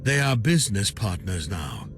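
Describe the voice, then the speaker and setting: A man voicing a sly underworld informant in a video game, florid, sardonic and deliberate in his speech. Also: on the low side, big pitch swings, fairly bright tone, soft background music. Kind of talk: scripted video game dialogue.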